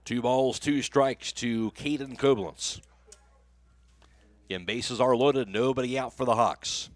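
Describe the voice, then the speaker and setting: A man's voice: medium pitch, slow pace, dry recording. An American man calling a baseball game live as a radio play-by-play announcer, in clipped phrases that follow the action.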